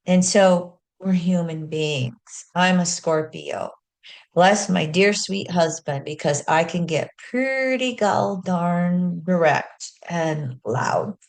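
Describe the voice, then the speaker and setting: Woman astrologer, low voice, loud speaking voice, folksy, earnest, unhurried. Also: gravelly. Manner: earnest, folksy, self-deprecating asides. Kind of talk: teaching astrology talk with slides.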